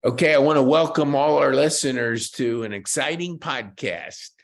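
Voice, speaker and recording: A man's voice: medium pitch, normal speed. A man hosting a podcast interview, chatting in an enthusiastic, folksy way with golf banter. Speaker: podcast host.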